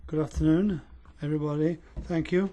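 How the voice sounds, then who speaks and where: A man interpreting at a parliament committee hearing, speaking in a steady, measured way with an uneven pace. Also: a little high.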